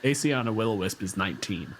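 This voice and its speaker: A man's voice: on the low side, animated, fast, a little hiss or hum. A man acting as game master, casually narrating a tabletop game in a descriptive, unscripted way. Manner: descriptive, casual, swears freely.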